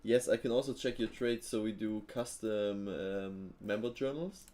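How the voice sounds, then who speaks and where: A man with a fairly low voice hosts a live trading stream in a loose, chatty way. He thinks aloud, drops fillers, and chuckles easily while answering his viewers. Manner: relaxed, thinking aloud, frequent fillers and quick laughs.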